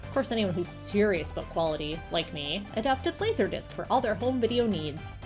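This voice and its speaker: A woman's voice: mid-range voice, expressive, fairly quick, music in the background, lightly acted. Woman playing a nerdy, stammering male superfan. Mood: pompous. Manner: stammering, fussy. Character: nerdy, defensive young male superfan, nervous and pompous. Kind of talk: visual novel character voice.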